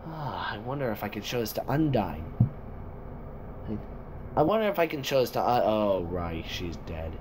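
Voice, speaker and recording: A man improvising amateur character lines in a halting way, repeating and reworking them as he goes. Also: fairly low pitch, sing-song. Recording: faintly noisy home recording.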